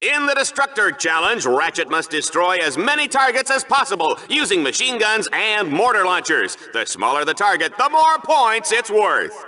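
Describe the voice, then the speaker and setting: Smug video game arena announcer, super bright forceful voice, swoops all over, sarcastic and bombastic. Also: male voice, high-pitched, very animated, normal speed, hardly any pauses, heavily acted. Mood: showy, smug. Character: smug, hammy male sci-fi arena sportscaster, cowardly show-off. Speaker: sports commentator. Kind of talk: over-the-top game show commentary.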